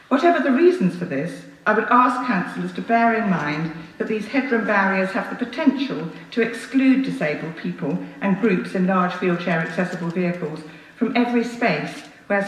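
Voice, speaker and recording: Female public speaker, lowish voice, measured formal reading, reverberant chamber. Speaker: member of the public addressing a council. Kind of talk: prepared formal public address, read aloud. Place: large echoing council chamber. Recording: echoey hall, distant mic, faint noise.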